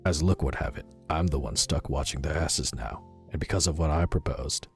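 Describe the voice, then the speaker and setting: Guy doing a grumbly uneasy secret agent reading his log, really low voice, bit of music behind. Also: animated, moderate pace, darkish tone. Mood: bitter, irritated. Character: irritable, uneasy male field operative reading his log. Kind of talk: dramatic reading of a personal log.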